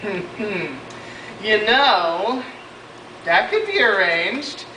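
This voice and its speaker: A student actor playing a grumpy, scheming Pharisee in an amateur skit, talking in a hesitant deadpan way. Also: male voice, high voice, very slow, exaggerated voice. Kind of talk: amateur class skit acting. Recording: roomy distant noisy home video.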